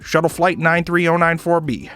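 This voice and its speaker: A man voicing a brisk, formal spaceport intercom announcer in a visual novel reading. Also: a little high, steady tone, fairly bright tone, faint music behind, close to an everyday voice. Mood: formal, urgent. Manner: formal public announcement delivery.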